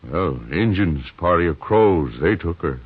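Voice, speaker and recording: An actor playing a grim, defensive frontier homesteader in a 1950s radio western, with an American accent and a husky, halting rustic delivery. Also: male voice, low voice, lively intonation, no room sound, exaggerated voice. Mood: shaken, halting. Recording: muffled, band-limited old radio recording.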